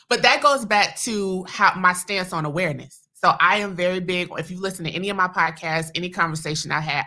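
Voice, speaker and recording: A middle-aged woman with a Southern American accent chats with her husband on a podcast. Her voice sits a little low but comes out bright and forceful, loud and emphatic, and she laughs often. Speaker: podcast host. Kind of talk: casual chat with her husband.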